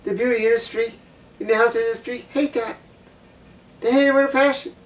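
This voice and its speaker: A woman's voice: steady tone, brisk, recorded from a distance, poor audio. Blunt woman ranting to camera. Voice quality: low voice. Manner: blunt, preachy, repeats points for emphasis. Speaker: vlogger. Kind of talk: opinion monologue to camera.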